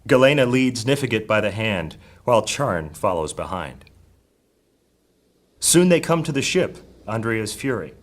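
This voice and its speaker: A man's voice: fairly low pitch, sing-song, measured pace. A man narrating a fantasy audio drama in a dramatic, scripted storytelling style.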